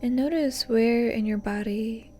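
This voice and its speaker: A woman leading a guided meditation in a soft, breathy, often near-whispered voice, calm and gently instructing. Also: fairly high pitch, measured pace, soft background music. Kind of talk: soothing guided meditation narration.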